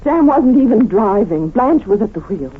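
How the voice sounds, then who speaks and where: An actress playing a devoted, possessive wife in an old radio mystery, speaking with quiet, insistent intensity. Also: female voice, fairly high pitch, very animated, normal speed. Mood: pleading, knowing. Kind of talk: 1940s radio drama acting. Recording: muffled, noisy old radio recording.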